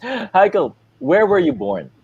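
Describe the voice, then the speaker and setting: A man's voice: sits high, huge pitch swings, slow pace, vocal fry, dull audio, crowd noise. A man co-hosting an interview in casual chat, tossing in laughs and playful asides. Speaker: co-host interviewer.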